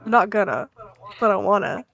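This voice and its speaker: A woman's voice: mid-range voice, animated, a little fast, creaky, fairly bright tone. A woman playing a tabletop roleplaying game, chatting in character in a blunt, informal way. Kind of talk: casual in-character game chat.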